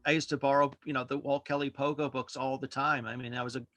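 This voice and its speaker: A man chatting casually as a podcast guest, halting and rambling as he corrects himself. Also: medium pitch, level tone, a bit bright, no echo. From Worcester, United States. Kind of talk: casual interview chat. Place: virtual studio online call.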